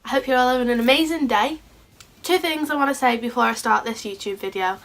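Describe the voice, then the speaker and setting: A woman with a sore throat and a hoarse voice, chatting casually and a bit scatterbrained through a makeup tutorial. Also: on the high side, quick pace, a bit bright, soft background music. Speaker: beauty vlogger.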